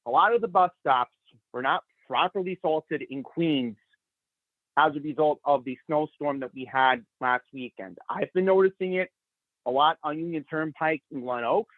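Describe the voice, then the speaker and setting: A man giving a prepared public comment at a board meeting, brisk and urgent, his voice climbing as he presses his points. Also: a little high, dry recording. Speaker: public commenter for a rider group. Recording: tinny, phone-like dry sound.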